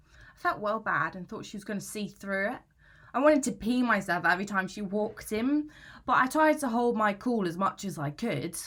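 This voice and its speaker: Young British female student, played by an actress: anxious, aggrieved, self-justifying, mid-pitched, natural conversational storytelling for a dramatic monologue. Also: fairly bright tone, close to an everyday voice. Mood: guilty, confiding. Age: young adult. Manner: chatty, slangy, self-justifying.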